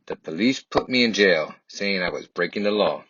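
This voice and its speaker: A man reading a children's picture book aloud in first person, with earnest, deliberate and expressive delivery. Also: sits low, highs cut off, slight background noise. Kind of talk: expressive scripted storybook narration in first person.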